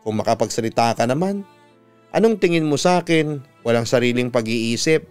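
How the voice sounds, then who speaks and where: A man's voice: mid-range voice, expressive, moderate pace, a little mellow, clear, resonant tone, soft background music, natural voice. A Filipino man voicing a defensive young woman with an irritated, snobbish, curt manner, in a dramatic story. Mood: irritated, snappish. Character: defensive, snappish young woman who loves luxuries.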